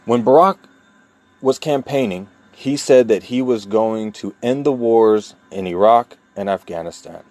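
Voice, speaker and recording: Low-voiced, deliberate, emphatic man. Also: darkish tone. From New York, USA. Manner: deliberate, emphatic, with long pauses between phrases; earnest and insistent, repeating key points. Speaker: vlogger telling his own story. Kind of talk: personal monologue to camera.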